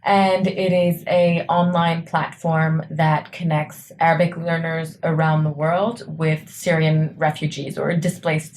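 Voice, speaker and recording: Low-voiced young woman explaining earnestly. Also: darkish tone, a little hiss or hum. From New York, USA. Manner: earnest, explanatory, frequent "uh" and "um" fillers. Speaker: startup co-founder, podcast guest. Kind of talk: interview answers.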